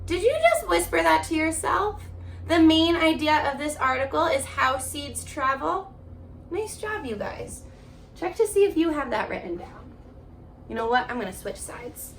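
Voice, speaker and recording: High, animated woman teaching young children. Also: moderate pace, vocal fry, a little hiss or hum. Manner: encouraging, questioning, speaking directly to young pupils. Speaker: elementary school teacher. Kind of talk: children's remote reading lesson, alternating slow read-aloud and chatty prompts.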